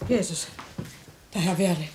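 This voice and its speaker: A woman's voice: on the low side, moderate pace, a little mellow, slight background noise, lightly acted. A woman playing an anxious, caring wife in a German radio play, solicitous and fretful. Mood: alarmed.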